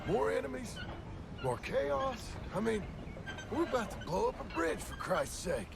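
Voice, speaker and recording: Younger outlaw for a game cutscene: gravelly rural American drawl, higher-pitched, terse, very animated swings. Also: male voice, measured pace, very noisy, exaggerated voice. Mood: gruff, businesslike. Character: rough younger outlaw, doubtful, restless, loyal. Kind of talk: dramatic video game cutscene acting.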